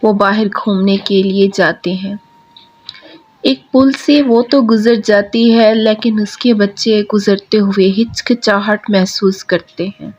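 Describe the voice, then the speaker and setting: A woman narrating a scripted children's story in a simple, deliberate way. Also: mid-range voice, steady tone. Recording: muffled lo-fi audio, loud music behind.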